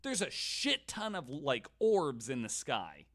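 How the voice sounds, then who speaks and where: Male podcast host, mid-pitched voice, casual storyteller with an irreverent, sweary streak and stammered restarts. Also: huge pitch swings, takes it slowly, a bit bright. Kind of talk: casual storytelling chat, sometimes reading quotes aloud.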